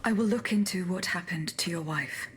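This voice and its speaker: A woman's voice: fairly low pitch, normal speed, a little hiss or hum, close to an everyday voice. Woman acting a composed, formal automaton heroine. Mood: composed, resolute. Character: reserved, determined female automaton warrior. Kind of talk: video game cutscene acting.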